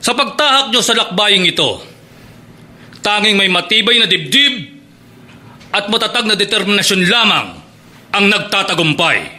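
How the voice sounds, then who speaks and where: A stern academy director in a Filipino radio drama. His voice is high, hard-edged and very bright, projecting a formal, declamatory speech with authority. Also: very animated, normal speed, pauses often, heavily acted. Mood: solemn, rousing.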